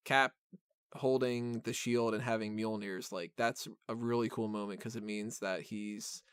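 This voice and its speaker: A man's voice: lowish voice, fairly even intonation, a little slow, clear, resonant tone, no room sound, studio quality. A man hosting a podcast and talking casually about films in a rambling, informal, mildly profane fan style. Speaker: podcast host reviewing films. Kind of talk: casual film discussion.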